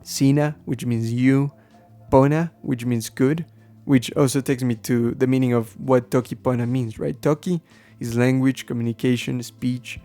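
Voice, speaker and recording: A man whose first language is Spanish, explaining things casually and haltingly as a podcast host, thinking aloud as he goes. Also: mid-range voice, steady tone, warm tone, soft background music. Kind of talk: casual unscripted explaining to listeners.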